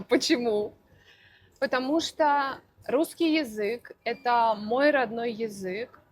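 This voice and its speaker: A woman language teacher answering interview questions for learners, speaking slowly and deliberately with careful articulation. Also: a little high. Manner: slow, deliberate, clearly articulated.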